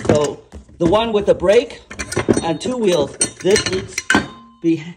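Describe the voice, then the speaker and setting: Slow, methodical man. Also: high voice, animated, very noisy. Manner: slow, methodical, explaining each step. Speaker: DIY instructor. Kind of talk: step-by-step how-to narration. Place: home garage.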